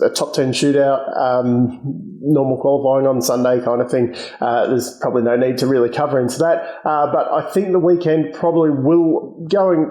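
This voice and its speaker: A slightly hoarse man hosting a motorsport channel, talking casually and hesitantly with many ums and restarts. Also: medium pitch, dark tone, echoey room, low-quality recording. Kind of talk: casual solo commentary.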